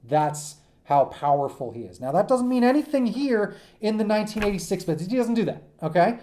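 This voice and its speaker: A man's voice: sits high, big pitch swings, a little fast, mellow. A man teaching in an informal, off-the-cuff way, rambling and often checking in with his listeners. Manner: rambling, frequent check-ins like "okay?" and "right?". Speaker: teacher giving an assignment. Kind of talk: informal lecture to students, off the cuff.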